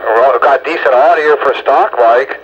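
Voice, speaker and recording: Man, dull lo-fi radio sound, clear static, casual banter, folksy, joking. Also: mid-range voice, normal speed. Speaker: CB radio operator. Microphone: stock radio microphone. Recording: lo-fi two-way radio with loud static.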